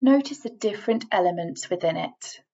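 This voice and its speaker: A woman reading a scripted guided relaxation in a measured, instructing manner, leaving deliberate pauses between phrases. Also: mid-range voice, lively intonation, mellow, highs cut off. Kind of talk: scripted guided meditation voiceover.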